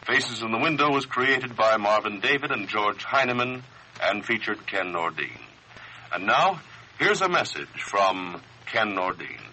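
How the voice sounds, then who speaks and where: A man announcing a scripted broadcast program in a measured, formal way. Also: lowish voice, animated, bright, forceful tone, slight background noise, low-quality recording. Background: music.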